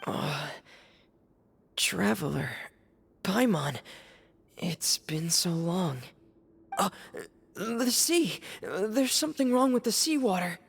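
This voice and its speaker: A man playing a soft-spoken, earnest, troubled teenage boy in a video game, with a halting delivery. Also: high voice, expressive, creaky, husky, heavily acted. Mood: dazed, hesitant. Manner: hesitant, halting. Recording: game music behind the voice.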